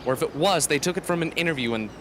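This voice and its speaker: A man playing an earnest, gentle teenage boy in an amateur radio drama, patient and persuasive. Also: on the high side, racing, slight background noise, natural voice. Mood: reassuring.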